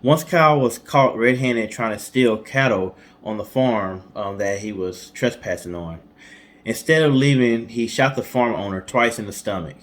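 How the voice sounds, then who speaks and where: A man's voice: medium pitch, moderate pace, a bit bright. A man co-hosting a storytime show, telling a story in an informal, colloquial way with casual asides. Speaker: co-host of a storytime guessing-game show. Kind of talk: storytelling from notes with casual banter.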